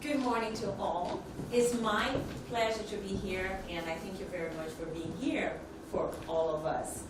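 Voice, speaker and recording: A woman with a Brazilian accent gives an informal, personal talk as a conference speaker. She moves in short, halting phrases at a middling pitch. The room is echoey, with clear background noise. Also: fairly even intonation.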